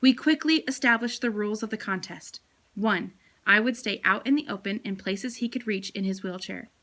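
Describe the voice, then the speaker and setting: A woman reading a children's chapter book aloud as a teacher, with expressive storytelling. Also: medium pitch, moderate pace.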